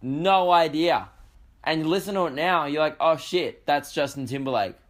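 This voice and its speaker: A young Australian man hosting a podcast, talking in a croaky voice with a sweary, jokey, rambling manner. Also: on the high side, moderate pace, a bit bright. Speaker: podcast host. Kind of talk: casual comedic monologue.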